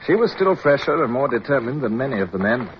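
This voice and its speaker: An actor with an English accent playing a weary, dutiful naval officer who narrates in formal, measured style in a radio drama. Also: male voice, medium pitch, very animated, lightly acted. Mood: weary, reflective. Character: weary, dutiful British naval officer, resolute yet dispirited. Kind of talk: radio drama acting, first-person narration. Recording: dull, noisy vintage radio recording.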